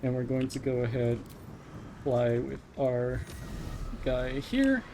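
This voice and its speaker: A man's voice: medium pitch, big pitch swings, slow, background noise. A man giving casual live commentary as a speedrunner, explaining each step as he plays.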